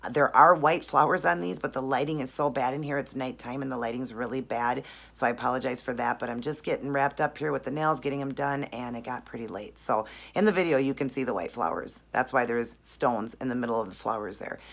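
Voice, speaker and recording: A woman with a low, deep-set voice talks through her nail design in a friendly, chatty way. Her voice is raspy and hoarse from laryngitis, with a rough edge on every phrase. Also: fairly quick, hardly any pauses. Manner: friendly, chatty, explains each step. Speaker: nail art vlogger. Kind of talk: casual tutorial chat.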